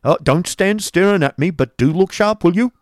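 A man doing a loud hectoring schoolmaster. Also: high voice, lively intonation, normal speed, dry recording, studio quality, pitched above the performer's own voice. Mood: bossy. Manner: loud, hectoring, barking orders. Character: bullying greedy male schoolmaster. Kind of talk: audiobook character voice.

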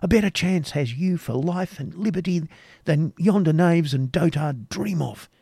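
A man voicing a proud, passionate male knight templar who speaks urgently, dropping to hushed pleading. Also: on the high side, very animated, slow, creaky, husky, no room sound, whispered, studio quality, voice pushed up, heavily acted.

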